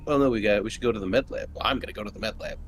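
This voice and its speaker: A man's voice: lowish voice, very fast, soft background music. A man chatting casually as a player in a tabletop game, hesitant and thinking aloud over an online call. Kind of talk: casual chat during a game. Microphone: online group call. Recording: faint background noise on online call.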